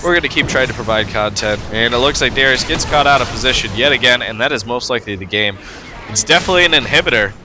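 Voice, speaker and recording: A man with a cold, sounding stuffed up, giving relaxed play-by-play commentary over a video game match with frequent fillers. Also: medium pitch, fast, background noise. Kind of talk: casual gameplay commentary.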